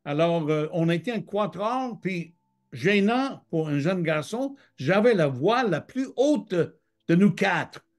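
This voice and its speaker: A man's voice: high voice, expressive, slow pace. A middle-aged man from Michigan, a guest telling his life story in French over a video call, hesitating with many fillers. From Michigan, United States. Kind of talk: testimony interview.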